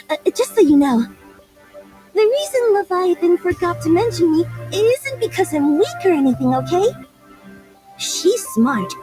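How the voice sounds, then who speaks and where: A woman's voice: extremely high pitch, mellow, heavily acted, low-quality recording. A woman voicing a naive, forgetful beast girl in a video game, hesitant and rambling but proud. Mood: defensive, proud.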